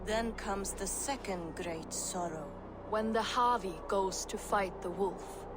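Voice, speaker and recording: Women voicing the three ancient Norns, speaking prophecy slowly and solemnly in a video game cutscene. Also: female voice, medium pitch, background noise, natural voice. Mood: ominous. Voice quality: slow, solemn, ethereal.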